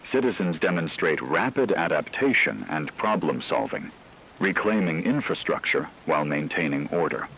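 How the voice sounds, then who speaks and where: A man playing a radio news anchor in a doomsday broadcast, reading scripted news in a formal, measured way over noise. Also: a bass voice, expressive, fairly bright tone, full character voice, rough recording. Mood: grave, measured.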